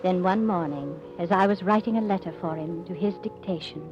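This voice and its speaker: A woman playing an earnest, devoted young gentlewoman, with formal, composed diction in 1940s radio drama style. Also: fairly low pitch, fairly quick, natural voice. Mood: composed, reflective. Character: earnest, devoted young English gentlewoman narrating her life. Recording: muffled vintage radio recording, music behind.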